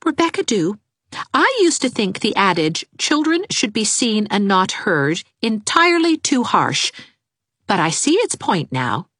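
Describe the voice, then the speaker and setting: A woman's voice: mid-range voice, big pitch swings, measured pace, fairly bright tone, no room sound, studio quality, pitched above the performer's own voice. Woman doing a stately, icy young teacher. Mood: wry. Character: dignified young female schoolteacher, cool and stately.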